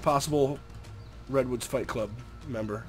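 A man's voice: medium pitch, a little slow, faint music behind. Congested, nasal young man narrating a game. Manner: chatty, easygoing, talks to the creatures. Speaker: gameplay commentator. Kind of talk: casual gameplay commentary.

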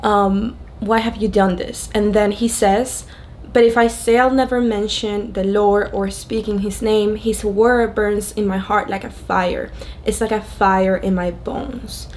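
A woman's voice: mid-range voice, steady tone, a little slow, a little mellow, a little hiss or hum. Candid young Venezuelan-accented woman. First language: Spanish. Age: young adult. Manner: candid, conversational. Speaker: video creator sharing a testimony. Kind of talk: personal testimony to camera.

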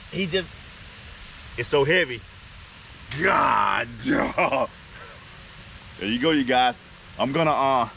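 Southern man in a noisy outdoor recording. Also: medium pitch. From Arkansas and Louisiana, United States. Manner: excited, repeats himself. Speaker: fishing channel host. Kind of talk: casual vlog talk. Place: outdoors by a neighborhood pond.

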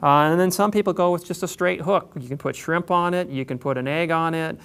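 A man explaining how to tie a drift fishing setup, in a plain, unhurried way with frequent pauses. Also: a little high, fairly bright tone, clean tone. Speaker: fishing instructor. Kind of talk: instructional demonstration.

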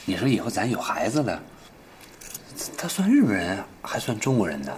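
A simple, cheerful country man, talks with big swoops of pitch. His Northeastern Chinese accent is folksy and colloquial. There is slight noise in the background. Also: huge pitch swings, moderate pace, darkish tone. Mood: teasing, uneasy. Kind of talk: naturalistic television drama dialogue.

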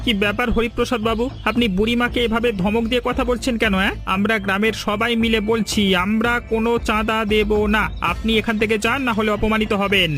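Cartoon villager male performer: defiant, assertive defender of others, notably high voice, faint music bed. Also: little pitch movement, quick pace, few pauses, pushed and bright. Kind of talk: Bengali cartoon folk-tale dialogue.

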